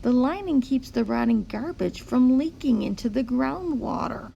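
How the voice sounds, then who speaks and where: A woman's voice: fairly high pitch, animated, normal speed, vocal fry, mellow, dull audio, slight background noise. A woman reading a picture book aloud to young children, explaining things simply like a teacher. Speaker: teacher-like read-aloud host.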